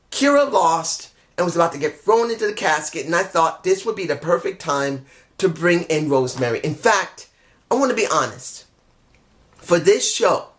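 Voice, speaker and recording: A man with a somewhat high voice, hoarse from talking, picks apart a wrestling show in a loose, opinionated way. He rambles and restarts his sentences, honest and a bit frustrated. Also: fairly bright tone. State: losing his voice. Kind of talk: casual opinionated review.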